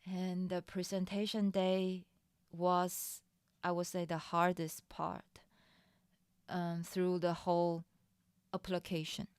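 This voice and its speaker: A woman's voice: on the low side, level tone, a little mellow, clean tone, no echo, clean studio sound. A woman whose first language is Mandarin, chatting candidly in English about her own experience, often restarting phrases. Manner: unhurried and candid, with frequent restarts and light laughs. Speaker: streamer sharing her startup funding experience. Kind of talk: casual chat.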